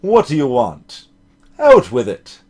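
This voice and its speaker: A man voicing a pompous, blustery older male lawyer from a video game, clearing his throat and leaning grandly on his words. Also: mid-range voice, very animated, slow, a little mellow, pitched below the performer's own voice, full character voice. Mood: pompous, demanding.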